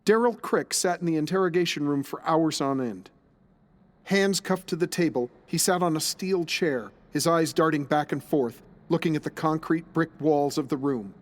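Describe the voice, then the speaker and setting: A man's voice: fairly high pitch, normal speed. A man narrating a scripted dramatic story as its narrator.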